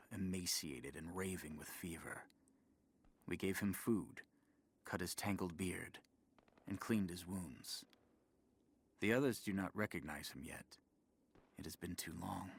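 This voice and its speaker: A man playing a weary, devout chronicler of a surviving people, narrating a video game document in a solemn, measured way. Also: low voice, breathy edge, exaggerated voice. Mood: hushed awe.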